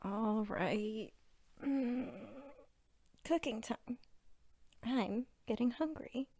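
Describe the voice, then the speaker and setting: Woman acting as sweet nerdy girlfriend, asmr roleplay, mellow n unhurried, teasing, soft voice up close. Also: fairly high pitch. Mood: relaxed, tender. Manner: soft-spoken, often near whisper, giggly, teasing. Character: sweet, bookish, playful archivist girlfriend at home. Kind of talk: intimate narrative ASMR roleplay.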